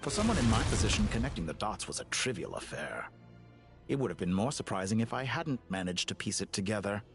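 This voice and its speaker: Low, theatrical villain, swooping and smug. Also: male voice, very animated, fairly quick. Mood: smug, taunting. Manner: pompous, taunting, condescending, theatrical. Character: smug, theatrical male villain, cruel mad-scientist mastermind. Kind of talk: video game voice acting. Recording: game music behind the voice.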